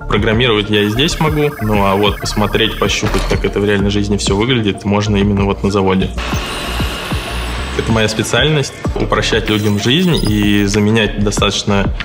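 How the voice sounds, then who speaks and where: Twenty-something guy, robot programmer, casual a bit hesitant, lowish voice, music under. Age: 20s. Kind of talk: interview testimonial.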